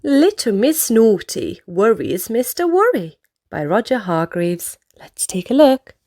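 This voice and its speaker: Animated woman reading a children's storybook. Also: on the high side, measured pace. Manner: animated, addresses young listeners directly. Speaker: children's book reader. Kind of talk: expressive read-aloud storytelling for children.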